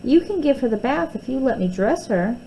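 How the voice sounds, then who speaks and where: A woman's voice: a little high, lively intonation, a little fast, mellow, a little hiss or hum, voice pushed up, rough recording. A woman voicing a stubborn, wistful young girl in a children's storybook, pouty and plaintive. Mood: pouty defiant.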